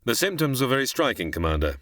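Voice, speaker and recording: An actor playing a cultured, guarded middle-aged scientist in an old-time radio sci-fi drama, speaking formally and theatrically. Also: male voice, medium pitch, sing-song, fast talker, fairly bright tone, no room sound, studio quality. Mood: grave, haunted.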